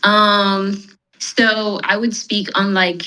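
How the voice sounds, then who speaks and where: A woman's voice: medium pitch, flat delivery, takes it slowly, sharp tone, rough recording. A young woman who grew up in southern Africa, answering casually as a podcast guest. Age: young adult.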